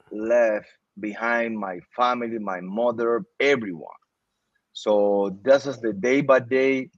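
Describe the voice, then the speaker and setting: A man from Cuba with a Cuban Spanish accent, a native Spanish speaker, speaking as an interview guest in earnest, halting English and often repeating himself. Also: on the low side, no echo. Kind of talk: casual interview talk. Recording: dull, dry sound.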